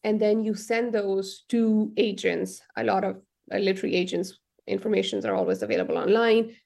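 A woman's voice: medium pitch, fairly even intonation, moderate pace, creaky, a little mellow. A young woman from Pakistan, a novelist answering radio interview questions thoughtfully, with lots of ums and restarts.

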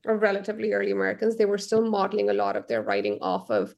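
A young woman, her voice often dropping into a gravelly fry. She answers a radio host's questions thoughtfully, pausing with frequent ums and restarting her sentences as she works out what to say. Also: medium pitch, animated, darkish tone. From Pakistan. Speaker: novelist, radio guest.

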